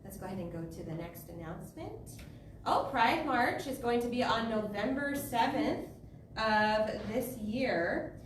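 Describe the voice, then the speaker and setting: A woman's voice: fairly high pitch, lively intonation, unhurried. A woman giving informal, chatty and upbeat community announcements to a gathering at a spiritual center. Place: large echoey meeting room, heard from a distance. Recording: echoey hall, distant mic, background noise.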